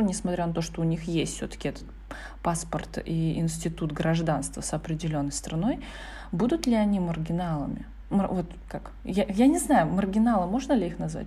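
A woman interviewer with a low, warm voice asks her guest questions. She sounds curious and thinks aloud while shaping each one. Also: breathy edge. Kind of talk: conversational questions.

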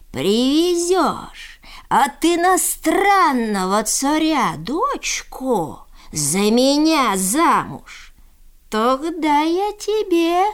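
A monstrous pagan idol from a fairy tale, put on by a woman storyteller. He speaks very slowly and weightily, stretching out his demands in a high, lively-pitched voice. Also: heavily acted. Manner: very slow, drawn-out, weighty delivery.

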